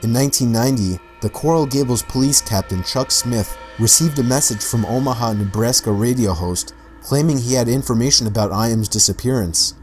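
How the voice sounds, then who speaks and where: A man's voice: mid-range voice, fairly quick, hardly any pauses. Male narrator over background music. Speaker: video narrator. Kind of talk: scripted documentary-style narration of true mysteries.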